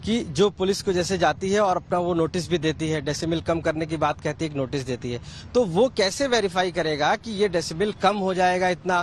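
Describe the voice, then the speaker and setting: Higher-pitched male news field reporter. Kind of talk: news interview questioning. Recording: faint outdoor traffic noise.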